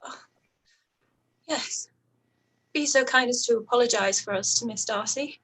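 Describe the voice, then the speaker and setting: A woman acting a distressed, proud young gentlewoman in a period radio play, with emotional, halting delivery. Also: on the high side, slightly rough. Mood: subdued, resigned.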